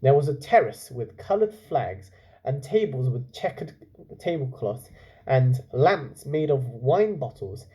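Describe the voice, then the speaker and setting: Mellow, lively male storyteller. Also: medium pitch. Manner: expressive, pauses to question listeners. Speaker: teacher reading a story aloud to children. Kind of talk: storytelling read-aloud with asides and questions to young listeners.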